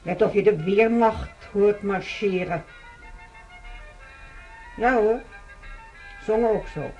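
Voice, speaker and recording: An actress playing a chatty, cheerful hospital patient making small talk in a naturalistic radio drama. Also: female voice, medium pitch, slow pace, darkish tone, dull audio, soft background music. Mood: friendly. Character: chatty, cheerful woman patient keen on holidays.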